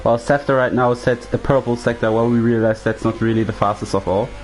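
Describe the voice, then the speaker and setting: A man's voice: medium pitch, fairly even intonation, fast. A man whose first language is German, leading a sim racing commentary in English in a chatty way and asking his co-host questions. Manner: chatty, steers the talk with questions. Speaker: lead commentator. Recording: faint music in the background.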